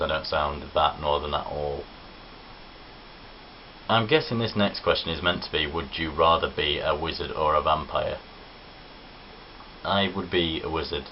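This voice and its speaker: A young man with a Midlands accent from Nottinghamshire, talking in a very low voice. He rambles through a casual vlog with self-deprecating asides. The audio is dull and lo-fi, with a faint hiss underneath. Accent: English Midlands (Nottinghamshire). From Nottinghamshire, England. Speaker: vlogger. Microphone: camera on tripod.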